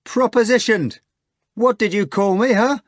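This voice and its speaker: A man's voice: high-pitched, big pitch swings, moderate pace, a bit bright, no echo, studio quality, pitched above the performer's own voice. A man voicing a brash young housemaid who shrieks, mocks and gloats with glee. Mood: mocking. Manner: shrieking, gleeful, mocking.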